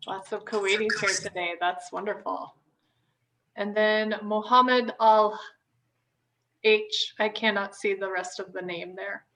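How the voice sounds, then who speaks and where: Slow-talking upbeat woman host on a call. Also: mid-range voice, steady tone, a bit bright, low-quality recording. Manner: friendly, upbeat, calling on people one by one. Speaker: orientation host. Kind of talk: hosting a video call introduction round. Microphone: video call.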